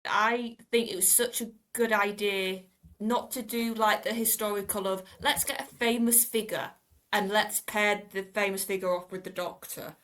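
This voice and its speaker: A woman's voice: medium pitch, steady tone, moderate pace, a bit bright. A woman in casual fan discussion as a podcast panellist, explaining her ideas in loose, conversational phrases.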